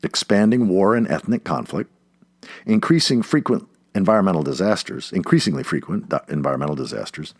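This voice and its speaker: A man reads an essay aloud in a rough, husky voice. His intonation swings widely as he stresses points with dramatic emphasis. The recording is dry and close, with little room sound. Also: low voice, expressive, brisk, no room sound. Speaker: audiobook narrator.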